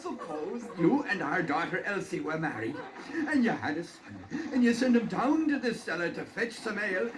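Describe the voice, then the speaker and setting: High, blubbering silly old-time father. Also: expressive, moderate pace, crowd noise. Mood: blubbering distress. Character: silly middle-aged father, blubbering fairy-tale simpleton. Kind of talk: old children's story record acting. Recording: distant, noisy, echoing worn vintage record.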